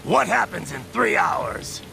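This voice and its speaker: A man acting as an intense, demanding cyborg warrior with a gravelly voice in a video game. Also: sits high, expressive, slow pace, loud music behind the voice, full character voice, rough recording.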